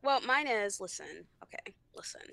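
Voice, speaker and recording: Young woman, high-pitched, bright edge, dry close sound, emphatic, swears. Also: animated, normal speed, vocal fry, no echo. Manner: emphatic, swears, tells stories with quoted dialogue. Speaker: podcast guest. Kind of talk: casual podcast chat.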